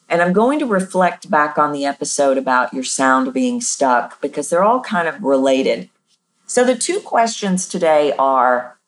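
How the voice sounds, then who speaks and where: A woman voice coach talks through listener questions on her podcast. Her speaking voice sits on the low side, yet she leaps up and down in pitch to demonstrate. She is candid and self-deprecating about her own habits.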